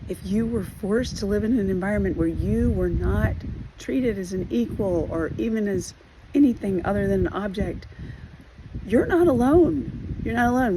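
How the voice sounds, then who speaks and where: A hoarse woman giving an earnest personal video update, talking haltingly with many fillers and restarts. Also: medium pitch, background noise. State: hoarse from losing her voice. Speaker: trauma recovery vlogger.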